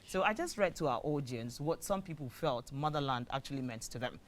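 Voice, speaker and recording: A man with a Ghanaian English accent hosting a studio talk show, measured and explanatory, leaning on fillers as he frames the topic. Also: medium pitch, slight background noise.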